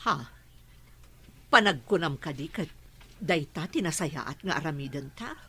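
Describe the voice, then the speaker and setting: A woman playing a worried, protective middle-aged mother in a Filipino radio drama, fretful and expressive. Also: low voice, a little slow, slightly rough, no room sound, heavily acted. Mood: subdued, hesitant.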